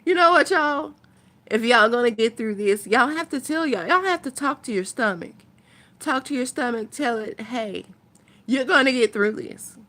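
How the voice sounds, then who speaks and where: A woman's voice: a little high, expressive, normal speed, pushed and bright. A woman chatting casually to her viewers as a vlogger, thinking aloud in a rambling way.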